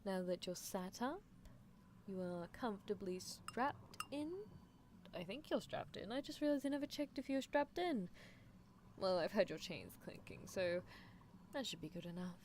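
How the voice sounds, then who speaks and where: A woman's voice: medium pitch, expressive, moderate pace, a little mellow, lightly acted. A woman voicing a gleefully unethical horse-girl scientist in an ASMR roleplay, musing in a coolly clinical, teasing manner. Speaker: streamer performing character roleplay. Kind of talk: ASMR roleplay, improvised character monologue. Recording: clear background noise.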